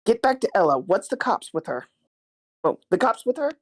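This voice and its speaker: A woman's voice: mid-range voice, sing-song, normal speed. Woman reading as a tough, blunt female gangster. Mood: curt, commanding. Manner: blunt, sweary street slang. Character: tough, curt nightclub woman gangster giving orders. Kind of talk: live screenplay table read.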